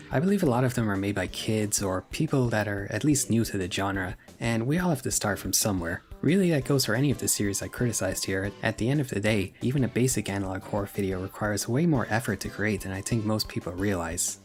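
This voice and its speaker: A man with a fairly low voice delivers scripted commentary in an informal, opinionated style. His wording is blunt and casual, with wry asides dropped in. Music plays clearly behind his narration. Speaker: video essayist and narrator.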